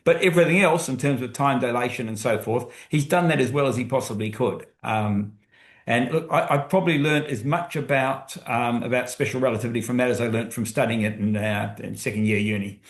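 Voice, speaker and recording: Australian man, thoughtful guest author, halting delivery, roomy video-call sound. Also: medium pitch. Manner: thoughtful, hesitant with many ums. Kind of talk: webinar conversation.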